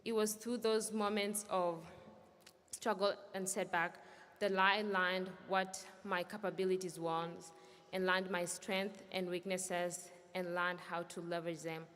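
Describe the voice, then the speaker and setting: A young woman whose first language is Swahili, giving an earnest, measured graduation speech. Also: lowish voice, level tone, a bit bright. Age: young adult. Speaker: student speaker. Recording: echoey hall from far off.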